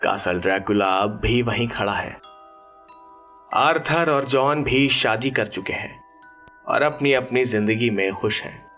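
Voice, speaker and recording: A man narrating a horror audiobook in a measured, deliberate, dramatic storytelling way over background music. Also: mid-range voice, fairly bright tone.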